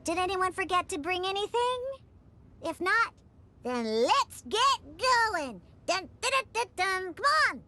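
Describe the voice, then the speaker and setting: Casting: excitable little fairy mascot in an anime game; squeaky, childlike, hugely animated delivery. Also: female voice, unhurried, full character voice. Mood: playful. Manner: bubbly, talks in third person. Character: tiny excitable girlish floating fairy companion.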